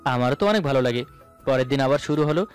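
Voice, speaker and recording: A man's voice: mid-range voice, animated, fast talker, clean tone. A man narrating a scripted romantic story in the first person. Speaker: narrator of romantic audio stories. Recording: voice over background music.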